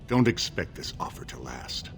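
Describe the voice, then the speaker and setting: Deep-voiced scheming dwarf agent. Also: male voice, big pitch swings, normal speed, vocal fry, soft background music, heavily acted. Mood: veiled threat. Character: scheming, haughty dwarf man, a prince's loyal agent. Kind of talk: video game dialogue acting.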